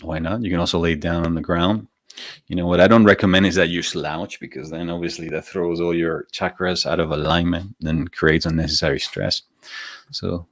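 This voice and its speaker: A man's voice: low voice, no echo, studio quality. A man with a Venezuelan accent, hosting a live stream and chatting informally and encouragingly before a guided meditation. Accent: Venezuelan Spanish. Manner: informal, gently encouraging, chatty asides to viewers. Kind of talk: casual spiritual talk before a guided meditation.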